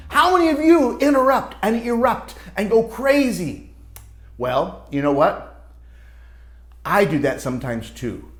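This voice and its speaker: A woman reading a children's picture book aloud as a storytime teacher, expressive and dramatic, with asides to young listeners. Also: low voice, reverberant.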